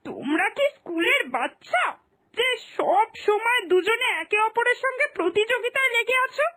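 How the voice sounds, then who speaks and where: A woman's voice: very high-pitched, expressive, moderate pace, pushed and bright, exaggerated voice. A woman voicing a loving mother-in-law in a children's cartoon, earnestly admonishing her family. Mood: chiding, pleading. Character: chiding but loving mother-in-law of the household. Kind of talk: Bengali moral-story cartoon dubbing.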